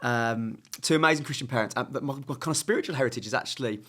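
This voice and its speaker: Lively English man telling his story. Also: mid-range voice, quick pace. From England. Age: 30s. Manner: lively, self-mocking, storytelling. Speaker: podcast guest, evangelist. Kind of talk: casual interview.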